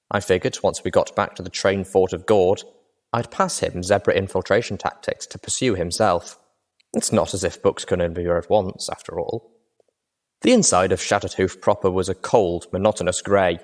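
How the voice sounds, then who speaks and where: A man reading first-person audiobook narration in a steady, measured way. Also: sits low.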